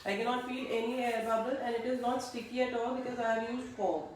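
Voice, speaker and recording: A woman giving a live online craft class, explaining steps slowly and checking that attendees can hear her. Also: fairly high pitch, fairly even intonation, a little hiss or hum. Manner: slow instructional, checks audibility with attendees. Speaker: craft teacher. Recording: distant echoey room mic.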